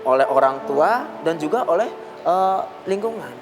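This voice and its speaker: Measured man explaining as an expert. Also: a little high, lively intonation, music in the background. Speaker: university psychology guest expert. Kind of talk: explaining on a talk show.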